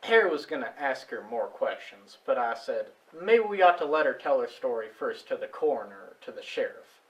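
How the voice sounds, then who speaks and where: A man acting in a stage play as a plainspoken farmer giving witness in a halting, folksy way. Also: mid-range voice, very animated, distant mic, lightly acted, poor audio. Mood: earnest, uneasy. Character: plainspoken male farmer witness recounting a discovery. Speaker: stage actor. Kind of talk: amateur stage play dialogue.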